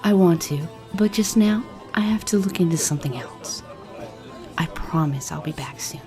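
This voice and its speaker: A woman playing an earnest female bard and spellcaster in a fantasy audio drama, with a soft, husky voice. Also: lowish voice, animated, moderate pace, over music, close to an everyday voice. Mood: urgent.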